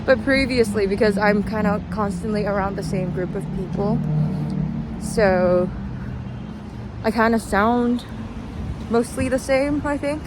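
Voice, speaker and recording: A woman's voice: medium pitch, a little slow, pauses often. A young woman chatting casually as she walks, self-deprecating, wandering off on tangents and trailing off. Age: young adult. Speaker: vlogger. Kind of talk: casual rambling vlog while walking. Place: outdoors on a walk in a city. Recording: noisy outdoor recording with traffic.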